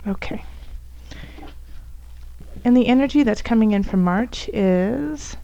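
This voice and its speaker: A woman with a raspy, hoarse voice from bronchitis, softly reading tarot cards for her viewers, at times almost whispering. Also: fairly high pitch, takes it slowly, muffled recording. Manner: soft, at times whispery, with fillers and restarts. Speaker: tarot reader.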